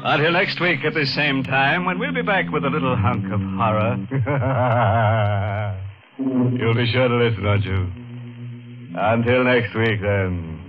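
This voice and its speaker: A man's voice: mid-range voice, slow pace, lightly acted. An actor playing a gleefully macabre, sly horror show host, savoring his words with mock friendliness in 1950s radio style. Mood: sly menace. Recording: muffled old radio recording with music.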